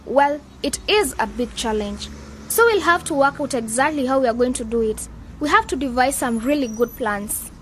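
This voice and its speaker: A woman's voice: sits high, lively intonation, normal speed, slight background noise. An actress playing a confident, idealistic young woman leading a youth club in a radio drama, with a persuasive, upbeat manner. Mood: enthusiastic, persuasive.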